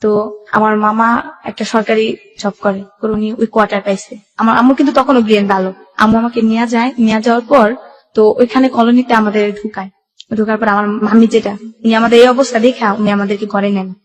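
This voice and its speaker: A woman's voice: a little high, steady tone, a little fast, poor audio. A young woman with a Bangladeshi Bengali accent tells her life story as a guest, in colloquial run-on sentences with reported dialogue. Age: young adult. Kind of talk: personal life-story narration.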